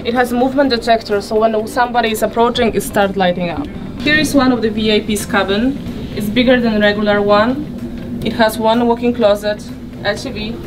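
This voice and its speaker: Higher-voiced young woman giving a tour. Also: background noise. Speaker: yacht company representative giving a tour. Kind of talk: presenting a walkthrough tour. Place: inside a catamaran's saloon and cabins at a boat show. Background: music.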